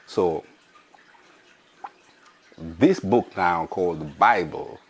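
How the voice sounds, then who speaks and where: Deep-voiced hoarse man, slow. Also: slight background noise. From Africa. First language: an African language. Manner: slow, searching, with restarts. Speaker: podcast host. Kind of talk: casual monologue.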